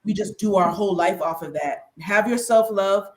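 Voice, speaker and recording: A woman's voice: medium pitch, moderate pace. A woman giving conversational advice on a panel, earnest and emphatic, repeating key phrases.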